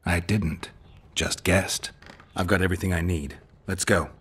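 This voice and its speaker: An actor playing a gruff, dry-witted monster hunter in a video game, laconic and deadpan, with a low gravelly voice. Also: male voice, a little slow, no room sound, heavily acted. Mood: dry, wry.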